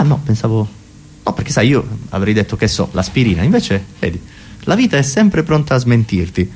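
A man's voice: medium pitch, darkish tone, a little hiss or hum. A man performing a conversational, ironic comic monologue for radio theatre, musing philosophically and talking to imagined listeners.

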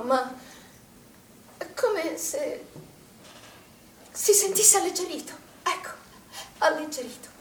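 An actress playing a grieving, troubled middle-aged mother in a stage drama, speaking in halting, emotional repeated phrases. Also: sits high. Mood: anguished, halting. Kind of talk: naturalistic stage drama monologue. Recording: roomy, distant stage recording with noise.

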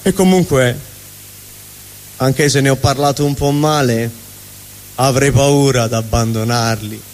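A man's voice: mid-range voice, measured pace, voice pushed down, natural voice. A man performing a theatrical comic stage monologue as an angry, vulgar but honest middle finger, ranting sardonically. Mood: indignant, wounded pride. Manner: ranting, sardonic, swooping between mutter and shout. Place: live stage at a fundraising event. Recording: echoey hall reverb, live stage.

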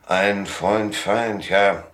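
An actor playing a wry, laconic private detective who narrates and talks in a German noir radio drama. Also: male voice, fairly low pitch, fairly even intonation, drawn-out words, fairly bright tone. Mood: matter-of-fact. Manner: laconic, sardonic. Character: hard-boiled, wry male private detective and first-person narrator.

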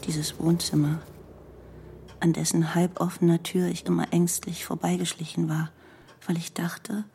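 An actress voicing a pensive, introspective woman narrator recalling her past in a measured, hushed literary radio play monologue. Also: on the low side, flat delivery, creaky, a little hiss or hum. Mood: pensive, intense. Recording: music under the voice.

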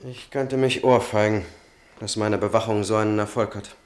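An actor playing a polite, calculating young student in a German radio drama, courteous and deliberate in speech. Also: male voice, fairly low pitch, steady tone, noisy background, lightly acted. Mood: grave, hesitant. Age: young adult.

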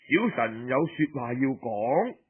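Man acting a husky, scheming deferential envoy. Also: mid-range voice, sing-song, measured pace, phone-quality sound, voice pushed down. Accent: Cantonese. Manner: formal, confiding. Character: deferential, scheming envoy quietly proposing a plot.

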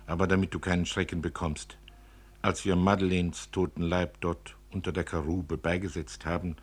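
A man reading the part of a tender, solemn father who muses gently and philosophically about death in a literary story. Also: low-pitched, little pitch movement, normal speed, husky. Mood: solemn, gentle. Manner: earnest, murmuring, philosophical.

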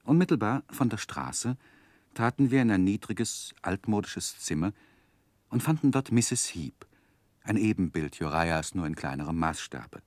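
A reflective narrator looking back on boyhood in a 1960s German radio drama. The voice is low and very lively in melody, telling the story in measured literary phrases. The sound is close and dry. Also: male voice, no room sound. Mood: reflective, expressive.

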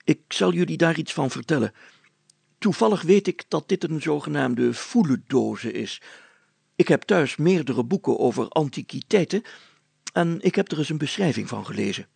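A man's voice: fairly high pitch, animated, normal speed, vocal fry, a little mellow, husky, dry recording, pitched above the performer's own voice, full character voice. A man voicing a pompous, fussy, easily flustered mayor in a storybook, sputtering and tripping over self-important words. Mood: solemn, flustered. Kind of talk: storybook character acting.

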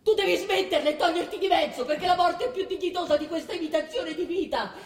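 A woman's voice: very high voice, fast talker. An actress playing the bitter, weary wife of a failing king, emphatic and repetitive in a theatrical drama style. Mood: bitter, anguished. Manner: emphatic, repeating phrases. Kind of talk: theatrical stage-style radio drama acting. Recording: distant, echoey stage recording with hiss.

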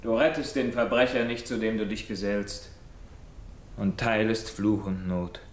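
A man's voice: fairly low pitch, fairly even intonation, unhurried. An actor playing a guilt-tormented, haunted man in a classical verse radio drama, with brooding, anguished theatrical declamation. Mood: bitter, brooding.

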